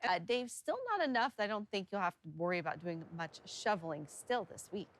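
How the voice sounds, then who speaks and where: A woman's voice: fairly low pitch, lively intonation, brisk, no room sound. A woman presenting weather on TV news, chatting in casual newsroom banter.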